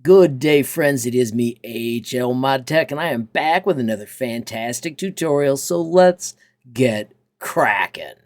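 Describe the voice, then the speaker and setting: An enthusiastic man hosts a casual software walkthrough. His voice sits at a middle pitch, and he talks through each step in an upbeat, informal way. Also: lively intonation, measured pace. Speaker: tutorial host. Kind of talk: casual software tutorial narration.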